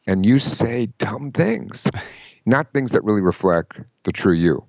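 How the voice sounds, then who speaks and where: A man giving career advice in an interview, emphatic and lively, often quoting people in mock voices. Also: lowish voice, unhurried, no echo, lo-fi sound. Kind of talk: conversational interview.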